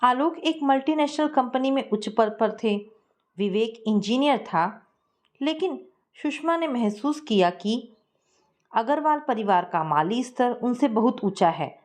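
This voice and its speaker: A woman telling a scripted family story in a steady, measured way. Her voice sits a bit higher than most women's.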